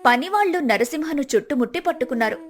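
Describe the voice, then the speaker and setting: High-pitched woman narrating a story. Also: expressive, quick pace.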